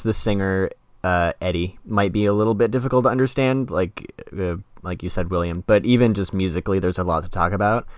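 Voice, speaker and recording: A man's voice: on the low side, no echo, clean studio sound. A man hosting a casual review podcast, talking enthusiastically about what he likes. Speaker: podcast host.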